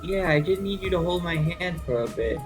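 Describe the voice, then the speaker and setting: A man's voice: on the high side, expressive, brisk, music in the background. A man playing a game with friends, joking and reading lines aloud with dramatic flair. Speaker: player in a group game stream. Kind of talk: casual group game commentary.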